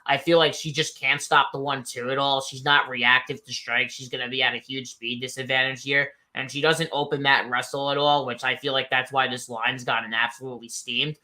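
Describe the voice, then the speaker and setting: A man's voice: medium pitch, flat delivery, brisk, few pauses, sharp tone. A man giving casual fight picks, blunt and rambling, leaning on "I feel like". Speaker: betting analyst guest.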